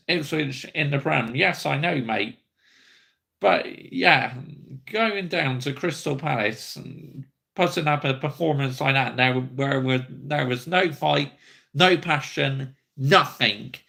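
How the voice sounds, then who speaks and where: Man, 30s, livestream host, angry blunt rant, gravelly, bright. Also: medium pitch. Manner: angry, blunt ranting, sweary. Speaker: football fan channel host.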